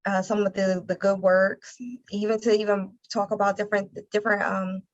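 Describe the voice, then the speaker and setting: An American woman from Philadelphia answering interview questions conversationally, haltingly repeating words as she thinks. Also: mid-range voice, level tone, lo-fi sound. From Philadelphia, United States. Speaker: interview guest, nonprofit communications director.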